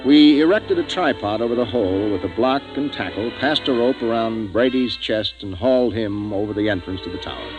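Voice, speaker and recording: An actor playing a resolute, authoritative spaceship commander in a 1950s science fiction radio drama. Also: male voice, on the low side, animated, lo-fi sound, music in the background. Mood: grave narration. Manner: brisk, authoritative, urgent. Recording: noisy vintage 1950s radio recording.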